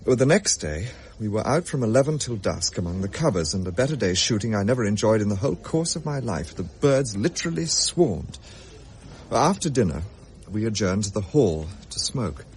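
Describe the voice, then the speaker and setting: An actor playing an English gentleman who recounts a ghostly tale in a measured, old-fashioned audio drama style. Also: lowish voice, sing-song, a little hiss or hum. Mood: genial, reminiscing. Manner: measured, genteel, grave.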